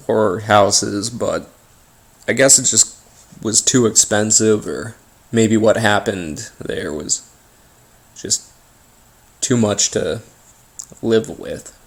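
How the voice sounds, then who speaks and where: An American man telling a first-person paranormal story in an informal, halting way, pausing between short phrases. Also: lowish voice. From Appalachian region of the United States. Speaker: host and narrator of a paranormal story series.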